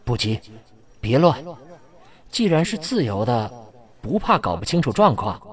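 A man's voice: mid-range voice, big pitch swings, unhurried. An actor playing an outgoing young man in a radio drama, puzzled and questioning, often thinking aloud. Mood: puzzled, musing. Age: young adult. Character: outgoing 28-year-old man, bewildered newcomer in a strange town.

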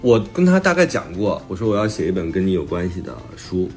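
A man with a lowish voice talks in a casual, conversational way as a guest author. He sounds reflective and modest about himself, and faint music plays behind him. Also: very animated, fast talker, darkish tone. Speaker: author guest discussing a new book.

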